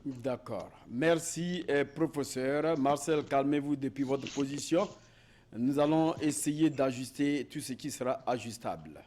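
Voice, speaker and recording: A man's voice: medium pitch, a little slow, darkish tone. A man hosting a panel debate, introducing guests with many hesitations. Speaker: talk show host.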